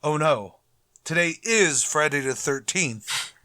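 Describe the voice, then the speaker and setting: An actor playing a pompous, grumbling middle-aged school principal in a radio comedy, formal and dryly sarcastic. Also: male voice, fairly high pitch, expressive, slow, sharp tone, no echo, clean studio sound, heavily acted. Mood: disdainful, dreading. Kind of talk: old-time radio comedy acting.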